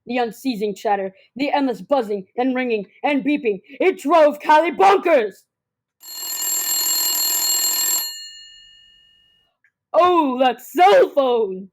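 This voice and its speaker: A woman's voice: a little high, normal speed, hardly any pauses, a little mellow, natural voice. A girl of about twelve narrating a comic suspense radio drama in a theatrical, scripted way. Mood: dramatic, agitated. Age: child about twelve.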